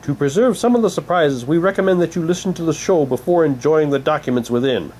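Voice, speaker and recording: A man's voice: a little high, fast talker, a little mellow, slight background noise, low-quality recording. A man casually commenting and reading documents aloud as he presents an unboxing. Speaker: unboxing vlogger.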